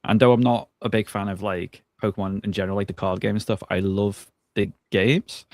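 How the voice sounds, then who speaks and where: A man's voice: on the low side, fairly even intonation, a little fast, darkish tone, dry recording, clean studio sound. A man in his thirties chatting casually about video games as a guest. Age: 30s. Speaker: podcast guest.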